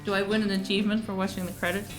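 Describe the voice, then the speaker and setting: A woman's voice: medium pitch, flat delivery, rapid-fire, music in the background. A woman playing a game on stream, reading prompts aloud and chatting playfully. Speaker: co-player. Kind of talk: casual game chat. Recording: roomy echo.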